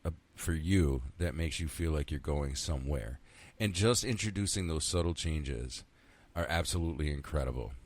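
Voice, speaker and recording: Low-voiced man in his fifties rambling. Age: 50s. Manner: rambling, self-talking, frequent swearing. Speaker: podcast host. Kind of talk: casual solo podcast monologue.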